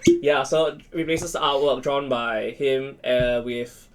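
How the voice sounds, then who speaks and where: A man's voice: medium pitch, steady tone, slow pace. A man chatting casually on a talk show, explaining things in an enthusiastic, geeky way. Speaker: talk show panelist explaining visual novels. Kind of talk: casual group chat.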